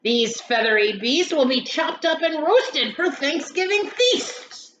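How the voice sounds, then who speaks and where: A woman voicing a lively little old male farmer from a storybook, in an exclamatory, dramatic manner. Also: sits high, slow, voice pushed up, full character voice. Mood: ominous. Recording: roomy echo, dull sound, faint noise.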